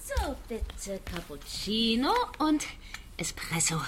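High, slow, obliging young secretary. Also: female voice, sing-song, heavy background noise. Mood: sweetly obliging. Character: compliant, unabashed young female secretary, doctoral student.

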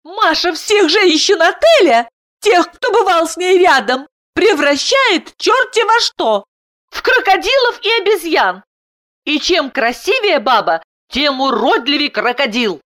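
A shrill, high-pitched wife, hysterical and sharp-tongued. Her voice whines and swoops dramatically, like a storybook shrew on the edge of tears. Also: lively intonation, unhurried, heavily acted. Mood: angry hissing. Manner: shrieking, whiny, hissing.

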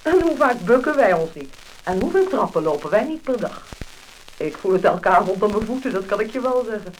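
A woman's voice: medium pitch, expressive, brisk, close to an everyday voice. An actress playing a middle-aged Dutch housewife from the Netherlands who complains and exclaims about her weight in a 1950s scripted drama. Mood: indignant complaining. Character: fifty-year-old housewife and mother, fretting about her weight. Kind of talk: 1950s scripted radio-style acting. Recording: crackly, hissing mid-century disc recording.

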